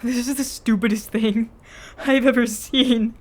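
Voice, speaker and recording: A grumpy teenage boy in a read-aloud story, acted by a woman. He is easily outraged and complains in exasperation, stretching his words out slowly. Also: on the high side, fairly even intonation, voice pushed up. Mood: mocking amusement. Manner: loud, exasperated, dragged-out complaining.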